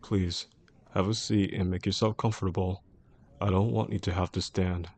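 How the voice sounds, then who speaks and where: Casting: male actor as a composed male chief executive for intimate roleplay audio, low-pitched, measured and formal, protective with a possessive undercurrent. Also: a little mellow. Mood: gentle, reassuring. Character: composed, caring male CEO boss, secretly obsessive. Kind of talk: intimate scripted roleplay audio addressed to the listener.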